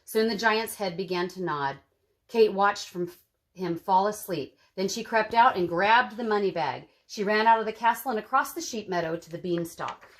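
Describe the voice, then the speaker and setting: A woman's voice: mid-range voice, normal speed, rough recording. Woman reading expressively to children. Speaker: storyteller for children. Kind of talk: expressive picture-book read-aloud.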